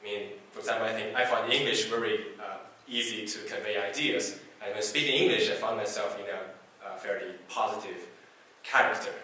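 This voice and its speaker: A man whose first language is Chinese gives a technical talk to a meetup audience, speaking haltingly with many fillers and restarts. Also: on the low side, fairly even intonation, sharp tone. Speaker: conference speaker. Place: meetup presentation room. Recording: echoey hall from far off, faint hiss.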